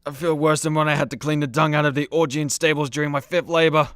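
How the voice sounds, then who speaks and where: An actor playing a hungover, self-pitying mythic hero in a comedy radio play, weary and groaning. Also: male voice, on the high side, level tone, fast, fairly bright tone, dry recording, studio quality, natural voice. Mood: hungover misery.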